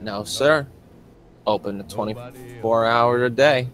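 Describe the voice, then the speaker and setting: A man's voice: medium pitch, slow pace, a bit bright, soft background music. A man voicing a lanky, simple small-town mechanic in a tabletop game, with a polite, folksy drawl and an unsettlingly friendly manner. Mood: folksy, chatty.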